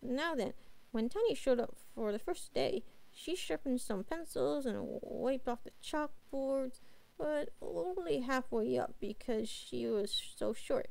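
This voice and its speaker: A woman voicing a cute, playful young catgirl, telling a bedtime story in a halting, chatty, informal way. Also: high voice, animated, gravelly, darkish tone, no room sound, heavily acted, low-quality recording. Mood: storytelling.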